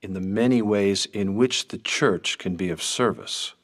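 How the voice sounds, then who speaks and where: A low-voiced, firm priest. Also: male voice. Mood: grave, firm. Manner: measured, restrained. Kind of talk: radio drama acting.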